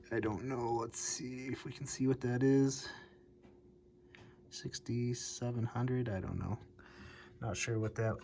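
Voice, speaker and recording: A man's voice: medium pitch, a little mellow. An American man speaks in a mid-range voice, informal and unscripted. He thinks aloud as he presents hands-on hobby work. There is noticeable noise in the home recording. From United States, Midwest. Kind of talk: casual hands-on repair vlog narration.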